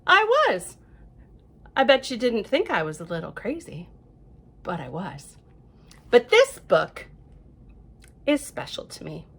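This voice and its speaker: Expressive American woman reading at storytime. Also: a little high. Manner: gentle, reassuring, addressing young children with rhetorical questions and affirmations. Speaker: library storytime reader. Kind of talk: children's storytime, chatty and reading aloud.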